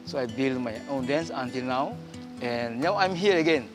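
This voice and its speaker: A man from Papua in his forties with an Indonesian accent, a guest choreographer talking in broken, halting English with many restarts in a studio interview. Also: mid-range voice, animated, music in the background. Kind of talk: casual interview chat. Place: indoor TV studio set.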